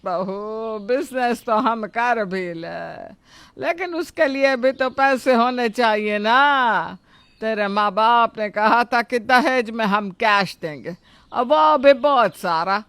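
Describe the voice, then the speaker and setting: A woman plays an old mother-in-law in a storybook drama. She nags and grumbles in a high, slow voice, recorded very dry. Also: a bit bright, no echo, full character voice. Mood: nagging. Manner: nagging, drawn-out complaining.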